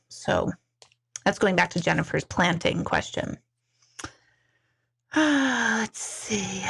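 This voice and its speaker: A woman's voice: fairly high pitch, creaky, a bit bright. A middle-aged woman, an intuitive medium, chatting casually and rambling as she reads out and answers viewers' questions, laughing often. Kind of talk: casual live-stream chat.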